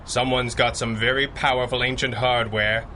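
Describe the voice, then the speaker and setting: An actor playing a masked crimefighter boss, commanding and dryly wry, in a pulp radio drama. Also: male voice, mid-range voice, little pitch movement, moderate pace, pushed and bright, a little hiss or hum, natural voice. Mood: intent. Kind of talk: radio drama acting in 1930s pulp style.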